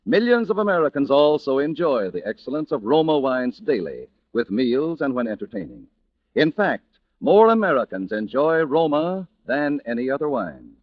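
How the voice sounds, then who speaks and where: A polished male announcer delivers a formal 1940s radio advertisement. His intonation rises and falls in a lively way. The sound is dry and muffled, like an old broadcast. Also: mid-range voice, moderate pace, dry recording. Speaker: commercial announcer. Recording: dull, lo-fi vintage radio recording.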